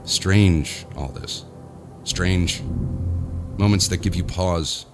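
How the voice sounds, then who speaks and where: An actor playing a haunted, remorseful business tycoon in an audio horror drama, with a brooding, deliberate delivery. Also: male voice, low-pitched. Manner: brooding, deliberate, halting when shaken. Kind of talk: dramatic first-person horror narration and dialogue. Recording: loud music under the voice. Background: dramatic music.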